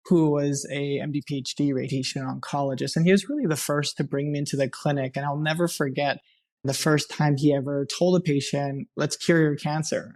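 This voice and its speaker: A man from Hawaii, a radiation oncologist, telling his personal story on a podcast in an earnest, unhurried way. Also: on the high side, darkish tone.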